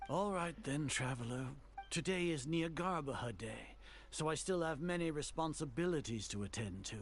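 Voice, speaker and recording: Pompous, haughty older sage. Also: male voice, fairly high pitch, lively intonation. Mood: haughty, dismissive. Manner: pompous, formal, deliberate diction. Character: haughty, fanatical older male grand sage, coldly scheming. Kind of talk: dramatic video game voice acting. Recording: game dialogue over music.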